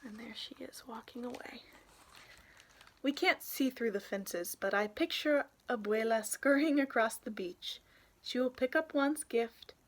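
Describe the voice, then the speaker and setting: A young adult woman reading a picture book aloud expressively, in an animated way, for young listeners. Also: fairly high pitch, unhurried, slight background noise. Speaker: read-aloud storyteller, youth intern.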